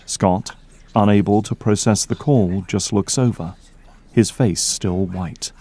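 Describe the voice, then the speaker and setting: A man's voice: fairly low pitch, slow, mellow, slightly rough. A man delivering dramatic scripted narration as the narrator of an audio drama.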